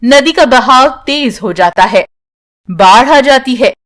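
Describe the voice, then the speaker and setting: A woman narrates a children's story in a high-pitched voice. She takes it slowly, delivering scripted lines as the storyteller. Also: expressive, a bit bright.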